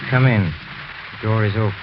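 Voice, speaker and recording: An actor playing a grief-crazed, obsessive widower in an intense, insistent 1940s radio horror style. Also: male voice, lowish voice, steady tone, a little fast, natural voice. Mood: hushed, morbid waiting. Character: grief-crazed, obsessive widower awaiting his dead wife. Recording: noisy, muffled 1940s radio recording.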